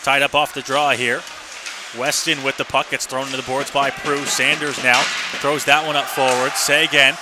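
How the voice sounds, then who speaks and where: A man doing live ice hockey play-by-play for radio, describing the action in clipped running phrases. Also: on the high side. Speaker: play-by-play announcer. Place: ice rink, live broadcast position. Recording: noisy live arena broadcast.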